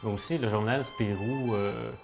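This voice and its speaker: A man with a Québécois accent answers questions in a fairly low voice. He talks casually and hesitantly, pausing on fillers. Soft music plays faintly behind him. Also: vocal fry. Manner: hesitant, many fillers, relaxed. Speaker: guest comic artist.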